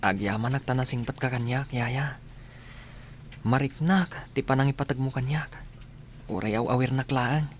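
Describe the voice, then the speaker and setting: Performer acting a soft-hearted young male student, faint noise. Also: medium pitch, normal speed, pauses often, natural voice. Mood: affectionate. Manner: polite, earnest. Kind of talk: Filipino radio drama acting.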